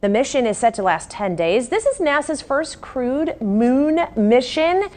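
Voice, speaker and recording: A woman's voice: high voice, very animated, a little slow, darkish tone. An American woman presenting news and weather as a television anchor.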